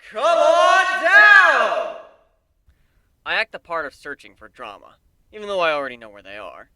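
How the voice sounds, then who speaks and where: A man reading a story aloud in the first person as an amateur dramatic narrator, expressive and wry. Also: mid-range voice, fast talker, smooth, no echo.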